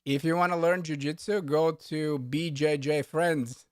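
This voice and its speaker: A man hosting a casual livestream in a halting, rambling way with frequent restarts, sounding matter-of-fact and unsmiling. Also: fairly high pitch, steady tone, clear, resonant tone, dry recording, studio quality. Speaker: livestream host, coding instructor.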